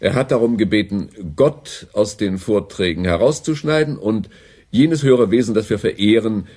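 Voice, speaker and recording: A condescending radio director. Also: male voice, lowish voice, animated, moderate pace, darkish tone. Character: smooth, authoritative male broadcasting director.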